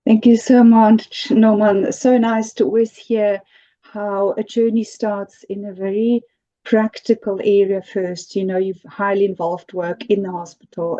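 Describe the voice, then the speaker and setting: A woman's voice: mid-range voice, mellow, lo-fi sound. A woman interviewing in a conversational, appreciative and encouraging way.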